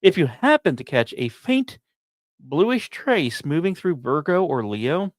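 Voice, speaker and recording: A man hosting a podcast, reading scripted science narration with casual asides and dramatic, storyteller-like phrasing broken by deliberate pauses. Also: on the high side, big pitch swings, no echo, clean studio sound. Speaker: podcast host.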